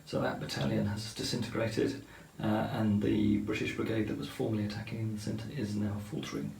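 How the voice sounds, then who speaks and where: Gravelly man walking through a wargame. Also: lowish voice, flat delivery, fairly quick, a little mellow, echoey room, a little hiss or hum, low-quality recording. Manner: methodical, explains rules step by step. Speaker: wargame player explaining turns. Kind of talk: tabletop game commentary.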